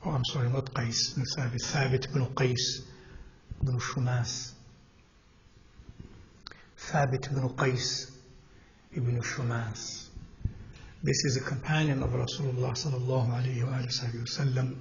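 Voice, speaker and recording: A man gives an Islamic lecture to a congregation in a mosque hall. His delivery is measured and halting, with pauses, restarts and questions put to the room. The recording is slightly dull with a faint hiss behind his voice. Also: mid-range voice, little pitch movement. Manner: asks the audience questions as he teaches. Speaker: mosque teacher.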